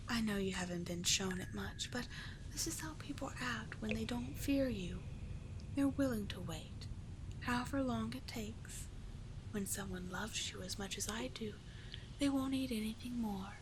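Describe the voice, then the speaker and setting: A woman voicing a seductive, doting, manipulative sea witch in an ASMR roleplay, breathy and coaxing, lingering on her words. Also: mid-range voice, lightly acted. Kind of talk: ASMR roleplay, slow hypnotic sleep-aid delivery. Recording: clearly noisy, with ambient sound.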